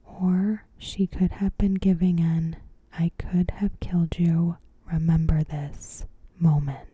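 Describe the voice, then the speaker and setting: A woman softly whispering a scripted recap in a gentle, measured, breathy ASMR style. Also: fairly low pitch, little pitch movement, no room sound, narrow, tinny audio. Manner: gentle, measured, careful phrasing. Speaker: ASMR host.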